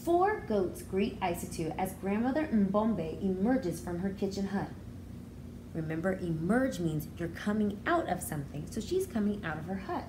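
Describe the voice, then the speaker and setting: Woman, preschool educator, animated read-aloud, simple explanatory asides, clear background noise. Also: medium pitch, normal speed. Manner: expressive, simple explaining to young children.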